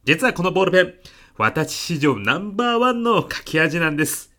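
A man's voice: high voice, sing-song, fairly quick, pushed and bright, full character voice. A man playing an earnest, easily flustered middle-aged salesman in a comedic radio drama. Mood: polite, earnest. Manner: polite, hesitant, protesting.

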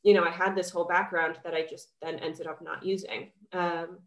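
A woman's voice: fairly low pitch, level tone, moderate pace, roomy sound. A young woman with a cold and a slightly nasal voice, chatting enthusiastically as a guest on a podcast, with lots of fillers. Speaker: skincare founder, guest. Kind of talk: casual podcast interview.

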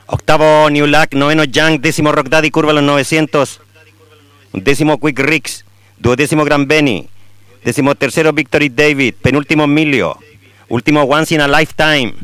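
Bright, crisp Chilean race caller. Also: male voice, fairly high pitch, no echo. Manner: rapid, rising to excited calls at the finish. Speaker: horse racing announcer. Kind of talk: live race commentary.

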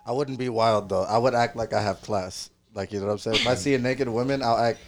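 A man's voice: mid-range voice, fast, a loud crowd. A young man from Ethiopia chatting casually, playful and joking, laughing often. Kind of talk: casual chat.

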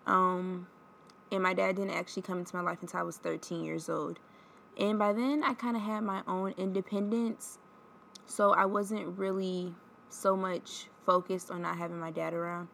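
A woman's voice: fairly low pitch, creaky, fairly bright tone, slight background noise. A woman in her twenties hosting her own podcast, chatting candidly with lots of ums and sounding stuffed up from a cold. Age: 20s. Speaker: podcast host. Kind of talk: casual solo podcast chat.

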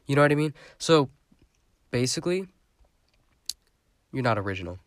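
A man's voice: mid-range voice, sing-song, normal speed, no room sound, studio quality. A young man hosting his own podcast, talking in a rambling, chatty way with a stuffy, congested voice. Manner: rambling, chatty, lots of fillers. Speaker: podcast host. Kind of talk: casual solo podcast monologue.

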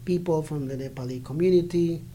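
A male interviewer asks curious, gently probing questions. His voice sits a little higher than most men's. A soft hum lies behind the recording. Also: a little fast. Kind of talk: casual interview.

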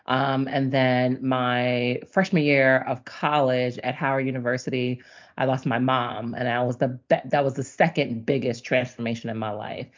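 A middle-aged woman born in Nigeria and raised in the US, telling her life story as a podcast guest in a chatty, animated way with frequent ums. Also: a bass voice, normal speed. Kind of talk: casual conversational storytelling. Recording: dull lo-fi audio.

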